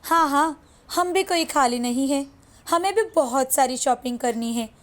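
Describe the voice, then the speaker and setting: A woman's voice: high-pitched, a little slow, a bit bright. A woman voicing a haughty, sneering rich wife and mother from a moral story, condescending and mocking.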